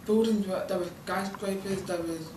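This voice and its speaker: A woman's voice: medium pitch, fairly even intonation, normal speed. A nineteen-year-old British woman whose first language is British Sign Language gives informal interview answers, hesitant and rambling with many ums and likes. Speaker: student intern giving an interview. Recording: echoey room, distant mic, background noise.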